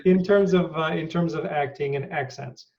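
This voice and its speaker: Man, interview host, halting, many fillers, far from mic, muffled sound. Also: fairly high pitch, a little mellow. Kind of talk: conversational interview.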